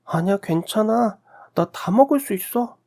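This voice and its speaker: A sweet little girl, acted by a man in a radio story drama. The voice is lifted high, curious and eager as a small child talks with parents. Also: lively intonation, moderate pace, warm tone.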